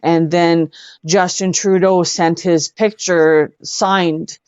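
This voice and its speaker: A Canadian woman with a fairly low voice gives conversational interview answers. She speaks haltingly and earnestly, pausing to think. The sound is dry and a bit dull, like lo-fi audio. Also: steady tone, a little mellow, smooth, no echo. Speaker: guest, singer-songwriter and speaker.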